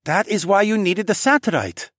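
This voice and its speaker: A man's voice: high-pitched, animated, moderate pace, a bit bright, dry recording, studio quality, pitched above the performer's own voice. A man voicing a curious, impatient younger Korean man in an audiobook. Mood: realizing.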